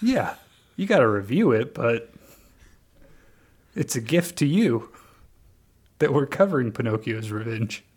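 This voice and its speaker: A man's voice: mid-range voice, big pitch swings, measured pace, pauses often, darkish tone. Sarcastic man co-hosting a podcast. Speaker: podcast co-host. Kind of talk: casual podcast banter.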